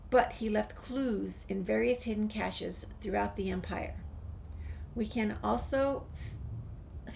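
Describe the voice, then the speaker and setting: A woman with a creaky voice, reading a game's documents and dialogue aloud as a gameplay streamer. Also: medium pitch, darkish tone, poor audio. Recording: faint background noise, game ambience.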